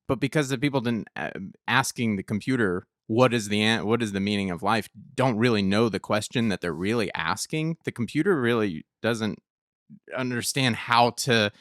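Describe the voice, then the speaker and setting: A man with a mid-pitched voice chats as a podcast co-host. He is reflective and emphatic, often repeating a phrase to drive it home. The recording is dry and close. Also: dry recording. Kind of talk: casual discussion.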